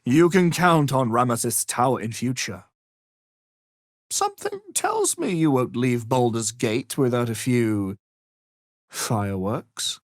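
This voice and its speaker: An arrogant, menacing wizard from a fantasy game, speaks in an unhurried, highly animated voice. He is pompous and condescending, delivering each phrase with theatrical relish. The recording is dry and close. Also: no room sound. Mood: disdainful. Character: arrogant, power-hungry male wizard, haughty and menacing.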